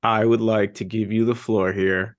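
A young man hosting a casual sports podcast, talking eagerly and telling stories with excitement. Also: fairly low pitch, normal speed, dry recording, muffled recording. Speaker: podcast host.

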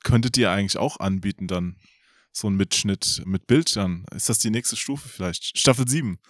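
A man's voice: on the low side, moderate pace. A man hosting a podcast, chatting casually as he interviews guests.

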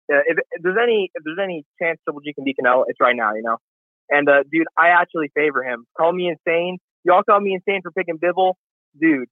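A man calls in to a boxing show over a thin, tinny phone line. His voice is fairly high and dry. He rambles and boasts with excitement. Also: no echo. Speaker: caller. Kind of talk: call-in chat.

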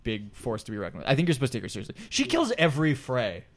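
A man with a medium-pitched voice co-hosts a casual podcast. He talks like an enthusiastic fan among friends, in loose conversational sentences with plenty of filler words. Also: wildly swooping pitch, very fast. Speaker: podcast co-host. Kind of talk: casual group chat about TV.

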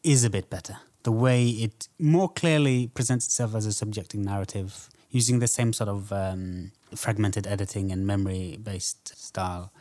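A man co-hosting a film podcast, speaking casually in a measured, reflective and slightly tentative way. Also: fairly low pitch, steady tone, warm tone.

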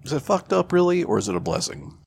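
A man's voice: a little high, big pitch swings, fast talker, creaky, husky, dry recording, studio quality. A man co-hosting a podcast, talking casually and slangily about pop culture with some swearing. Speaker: podcast co-host.

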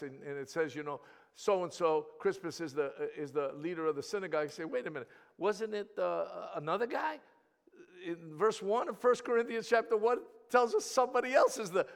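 A man with a Greek accent, a native Greek speaker, preaching a sermon in a church, swinging from quiet asides to loud emphatic peaks and often repeating words as he restarts. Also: a little high, big-room sound. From Greece.

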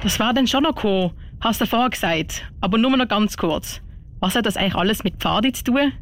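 A woman hosts a radio show in a chatty way. Her voice sits at a middle pitch, and she speaks with a Swiss German accent. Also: expressive, fast talker, a little hiss or hum.

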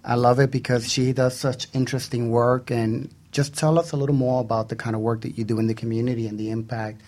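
A man's voice: mid-range voice, little pitch movement, fast talker, a little mellow. A man co-hosting a radio talk show in a conversational, bantering style.

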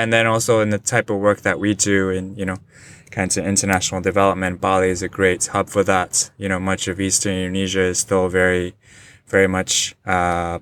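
Forties male guest from Japan, low-ish smooth voice, thoughtful, filler-heavy remote interview. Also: fairly bright tone. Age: 40s. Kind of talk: casual interview conversation. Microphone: remote online call.

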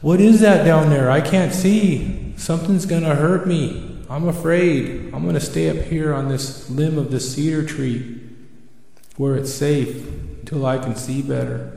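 A man's voice: mid-range voice, level tone, normal speed, creaky, hardly any pauses, warm tone, close to an everyday voice. A man voicing a frightened, proud owl in a told story, plaintive and exclaiming. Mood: afraid. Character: frightened, proud male owl, complaining and pleading. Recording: very echoey hall, long reverb.

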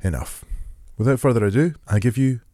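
A man hosting a podcast, giving a gentle descriptive introduction and then asking relaxed interview questions. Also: mid-range voice, fairly quick, no room sound, studio quality. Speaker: podcast host. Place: rural home.

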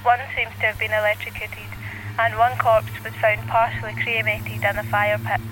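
An actress playing a sober radio newsreader delivering a scripted bulletin. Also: female voice, mid-range voice, level tone, moderate pace, fairly bright tone, a little hiss or hum, natural voice, rough recording. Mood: grave.

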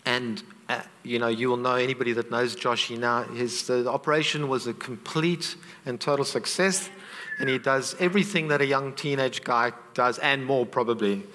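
A man's voice: medium pitch, moderate pace, sharp tone, in a large hall, slight background noise. A man preaching a sermon, earnest and conversational, with asides to the congregation.

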